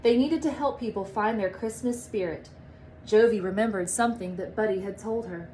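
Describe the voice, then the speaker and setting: A woman reading a children's storybook aloud in an expressive, emphatic manner. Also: medium pitch, moderate pace, a little hiss or hum, low-quality recording. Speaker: storybook reader, teacher.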